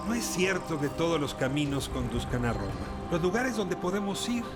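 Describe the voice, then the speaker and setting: A man reading a scripted show introduction in a deliberate, theatrical manner. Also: a little high, sing-song. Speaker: show narrator. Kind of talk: scripted, aphoristic intro narration. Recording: loud music under narration. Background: music.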